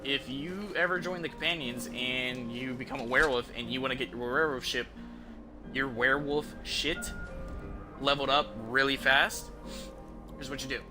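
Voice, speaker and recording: A man's voice: medium pitch, steady tone, normal speed. A young American man giving casual gameplay commentary as a streamer, rambling with slang and swearing over game music.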